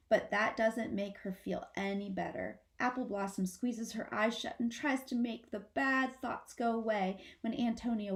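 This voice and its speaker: A woman narrating a children's storybook aloud in an expressive style, like a teacher reading to pupils. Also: mid-range voice.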